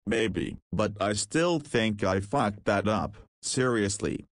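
A man's voice: on the low side, animated, slow pace, no room sound, studio quality. A man playing a cheeky, self-deprecating young patient in a visual novel, slangy, casual and wry. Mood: self-critical.

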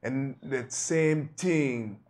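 A man playing a tabletop game, describing his character's actions in a rambling, animated way full of "like"s. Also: medium pitch, very slow. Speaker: player. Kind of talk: tabletop roleplay narration.

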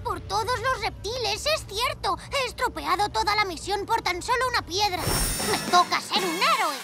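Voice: very high-pitched, normal speed. An actor voicing an earnest, sincere young boy superhero in a cartoon dub. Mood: regretful, resolute. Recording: loud music behind.

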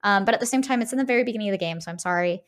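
A woman's voice: medium pitch, racing. A woman co-hosting a podcast in casual discussion, often tagging her phrases with a questioning "right". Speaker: podcast co-host. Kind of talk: casual discussion of a video game.

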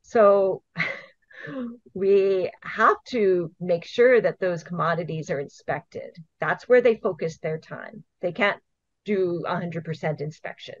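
A woman's voice: fairly low pitch, takes it slowly, no room sound. A middle-aged woman from Hawaii, a program manager being interviewed, explaining things earnestly and step by step with the odd laugh. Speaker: invasive species program manager, guest. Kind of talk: casual interview answers. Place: home video call. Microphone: video call. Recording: dull, dry video call audio.

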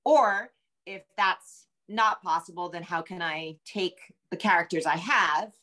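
A woman's voice: fairly low pitch, measured pace, pushed and bright. A middle-aged American woman talking casually as an interview guest on a video call, thinking aloud as she goes. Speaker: guest novelist. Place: indoor room at home, video call.

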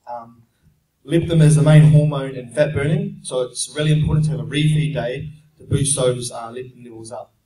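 A man's voice: medium pitch, normal speed, distant mic. Young New Zealand man answering questions in an echoey hall. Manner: plain, steady explaining with frequent ums. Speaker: fitness speaker answering audience questions. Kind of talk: casual Q&A seminar talk. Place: large conference room at an expo. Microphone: handheld mic, heard from a distant camera. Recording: echoey room heard from afar.

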